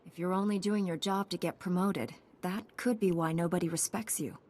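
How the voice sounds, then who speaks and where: A woman's voice: on the low side, fairly even intonation, moderate pace, darkish tone, lightly acted. An actress playing a principled female knight in a video game, composed and direct. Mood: composed, firm.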